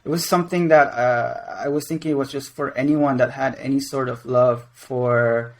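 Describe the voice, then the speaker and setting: A man's voice: medium pitch, steady tone, normal speed. A man in his twenties from Venezuela and Mexico, a game creative director answering interview questions in a rambling, casual way. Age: 20s. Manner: rambling, many restarts and fillers.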